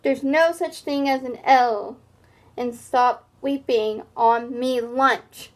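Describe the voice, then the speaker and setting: A woman voicing a brash male elephant bully in a children's storybook, loud, emphatic and taunting. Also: high voice, slow. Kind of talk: children's storybook read-aloud.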